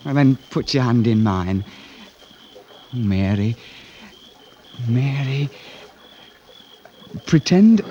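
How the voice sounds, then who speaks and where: An eager, sensitive young fireman, deferential and lovestruck. His halting speech swings widely in pitch in the manner of old radio drama, on a muffled, noisy recording. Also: expressive, mellow. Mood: tender, romantic. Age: young adult. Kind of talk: classic radio drama acting.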